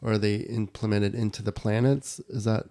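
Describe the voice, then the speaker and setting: A man's voice: fairly low pitch, steady tone, moderate pace, warm tone. A man hosting a casual interview, asking long rambling questions that stack up and restart. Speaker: podcast host.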